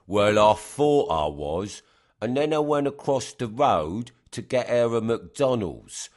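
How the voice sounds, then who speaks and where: A man's voice: medium pitch, animated, takes it slowly, darkish tone. An actor playing a dopey, girl-crazy secret agent in a comic radio play, rambling in a dreamy, laddish way. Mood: dreamily smitten. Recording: music behind the voice.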